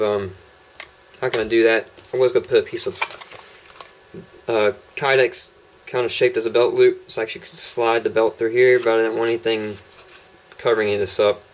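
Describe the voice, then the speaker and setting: A young man talks through his handmade holster prototypes in a loose, rambling way, filling pauses with ums and uhs. His voice sits a little low, picked up by a camera mic on a tripod. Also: slight background noise, poor audio. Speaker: holster maker showing prototypes. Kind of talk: casual product demo vlog.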